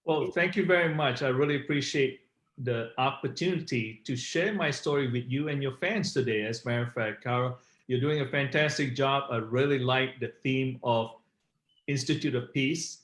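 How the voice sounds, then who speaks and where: A man's voice: medium pitch, normal speed, a bit bright. A middle-aged man, telling his personal story conversationally as an interview guest. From Malaysia. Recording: roomy, echoey online call.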